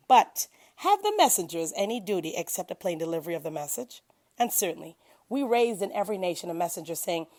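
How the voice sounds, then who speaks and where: A woman's voice: medium pitch, a little mellow. A woman reading a translation of scripture aloud as devotion, in a solemn, declamatory manner with emphasis on key phrases. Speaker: scripture reader.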